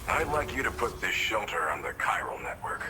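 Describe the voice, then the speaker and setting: An actor playing a helpful, earnest researcher in a video game, explaining things in an articulate, technical yet folksy way. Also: male voice, deep voice, very animated, normal speed, over music. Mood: approving. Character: helpful, earnest male scientist at a remote shelter. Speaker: quest-giving researcher character in a video game. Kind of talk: scripted game dialogue, explanatory.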